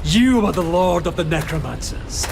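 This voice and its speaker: Terse, gravelly warrior knight. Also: male voice, high-pitched, expressive, normal speed, background noise. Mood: angry, defiant. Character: defiant, determined male warrior knight. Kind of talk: dramatic video game acting.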